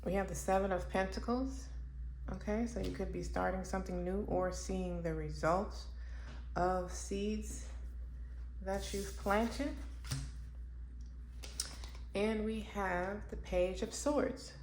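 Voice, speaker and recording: A woman doing a casual pick-a-card tarot reading for her channel. She thinks aloud slowly, with pauses and fillers. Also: medium pitch, a little mellow. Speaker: tarot reader. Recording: echoey room with faint background noise.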